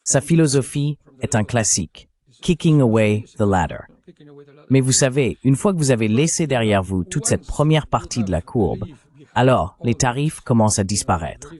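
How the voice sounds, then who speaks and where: A man's voice: mid-range voice, animated, normal speed, darkish tone. A man delivering a translated voice-over of an interview, explaining things as a commentator.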